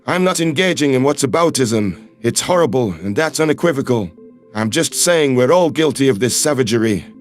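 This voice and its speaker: A man voicing a calm, earnest human man who speaks in firm, measured, reassuring tones. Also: mid-range voice, faint music behind, pitched below the performer's own voice, lightly acted. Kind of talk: audiobook character voice.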